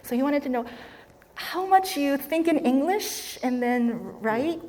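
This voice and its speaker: A woman moderating a talk and interpreting between speakers with very fast, fluent delivery. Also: high-pitched, animated, darkish tone, big-room sound. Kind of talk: interpreting between Korean and English. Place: large hall.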